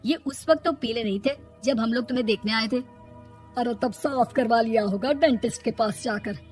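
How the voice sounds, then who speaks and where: A woman's voice: on the high side, normal speed, darkish tone, soft background music, natural voice. A woman voicing a nagging, exasperated middle-aged mother-in-law in a Hindi cartoon story, scolding and mocking. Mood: disgusted.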